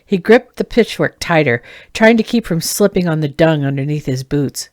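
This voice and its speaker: Female author-narrator for fantasy audiobook. Slightly low pitch, dry close sound, expressive scripted read. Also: normal speed, no echo. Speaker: author reading own novel.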